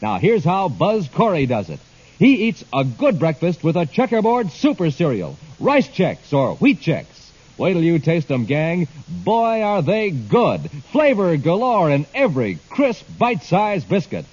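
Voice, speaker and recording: A man announcing a 1950s radio commercial for children with an excited, folksy hard sell. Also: high-pitched, measured pace, slightly rough. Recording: dull old radio recording.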